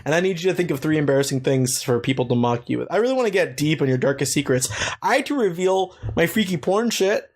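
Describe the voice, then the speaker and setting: A man's voice: fairly high pitch, animated, quick pace. A man hosting a podcast, chatting casually with a guest, joking and swearing a lot. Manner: profane, joking, rambling. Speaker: podcast host.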